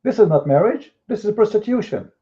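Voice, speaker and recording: Arabic-accented guy arguing hard, kinda high voice, tinny phone-line sound. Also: animated, brisk, warm tone. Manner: combative, rhetorical questions, mocking. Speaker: debater. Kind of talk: heated live online debate. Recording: phone-like lo-fi audio.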